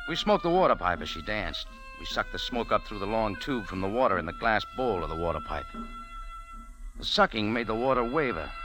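A hard-boiled café-owner narrator. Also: male voice, on the low side, rough recording. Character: tough American café owner, wry narrator. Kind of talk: 1940s radio acting. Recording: old radio broadcast with music.

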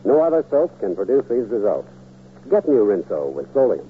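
A man's voice: on the low side, very animated, moderate pace. A man announcing a scripted product advertisement in 1940s radio style. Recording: scratchy, narrow old radio recording, hum.

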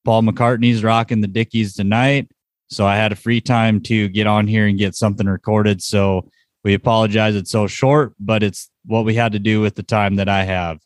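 A man's voice: lowish voice, little pitch movement, moderate pace, no room sound. A man hosting a casual sports podcast, chatting informally about results and what is coming up. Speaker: podcast host.

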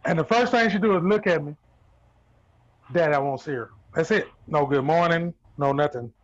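A joking man in his thirties, talking in a loose, playful way. His voice sits a bit high for a man. The sound is dull and lo-fi. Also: normal speed. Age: 30s. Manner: playful, joking, laughs often. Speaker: participant. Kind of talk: casual chat.

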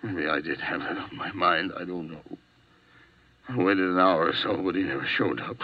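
A dull, narrow vintage radio recording carries a low male voice. a jealous husband on the run, desperate and short-fused, swinging between menace and broken murmurs in 1950s radio drama style. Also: normal speed. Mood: subdued, bitter. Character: hot-tempered desperate jealous husband, hunted murder suspect.

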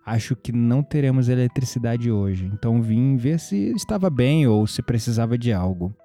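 A man acting a mellow, flirtatious Brazilian neighbour. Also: medium pitch, expressive, moderate pace, soft background music, close to an everyday voice. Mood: concerned. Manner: playful teasing, flirtatious. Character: mysterious male neighbour, flirtatious, playful, calmly teasing. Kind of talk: audio drama dialogue.

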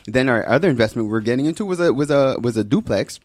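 A man's voice: medium pitch, expressive, fast, darkish tone. A man hosting a show, talking in a casual, conversational way with plenty of slang. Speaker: show host. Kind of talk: casual podcast-style talk.

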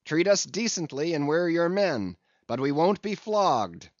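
A man's voice: on the high side, sing-song, measured pace, no room sound, voice pushed up. A man voicing a defiant, blunt sailor who leads a mutiny, in a dull, dry recording.

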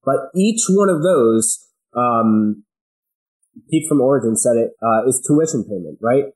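A man with a medium-pitched voice speaks as a guest on a podcast. He is casual and slangy, making points emphatically through anecdotes and rhetorical questions. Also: expressive, measured pace, darkish tone, rough recording. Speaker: podcast guest, business owner. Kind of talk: casual chat, telling anecdotes.

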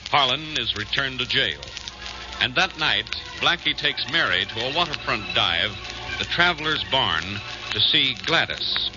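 An American male announcer delivers scripted narration for a vintage radio drama. His mid-pitched voice comes through a dull old recording, with loud music behind it.

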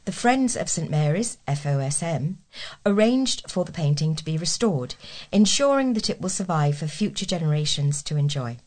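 A woman reads local news from a script as a volunteer newsreader. Her voice is a little lower than most women's. The delivery is that of read-aloud news copy. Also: big pitch swings, normal speed, darkish tone.

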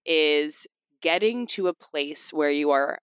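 A woman hosting a podcast in casual chat, a bit nasal from a cold, talkative and quick to laugh. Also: low voice, clear, resonant tone, no echo, clean studio sound. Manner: chatty, laughs often, fast bursts. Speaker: podcast host.

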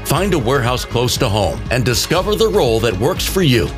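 A man's voice: mid-range voice, big pitch swings, a little fast. A man announcer reading a scripted recruitment commercial. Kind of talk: scripted recruitment radio ad read. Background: music.

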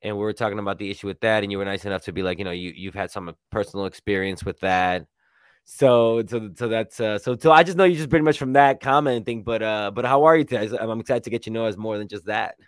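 A man from New York hosting a podcast, talking casually and excitedly, often repeating and restarting words. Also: lowish voice, quick pace, smooth, no room sound. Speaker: podcast host.

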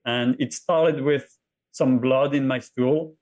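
A middle-aged man with a French accent, a podcast guest telling his own story in a halting way that often repeats words. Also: mid-range voice, fairly even intonation, darkish tone. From France. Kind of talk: interview conversation.

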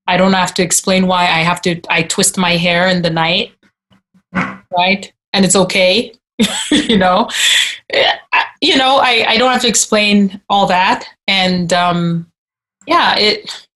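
A woman's voice: on the low side, steady tone, slow, a bit bright. Hesitant woman in her forties. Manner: hesitant, many restarts and fillers. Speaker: discussion participant. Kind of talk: personal reflection in a group video-call discussion. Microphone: video call.

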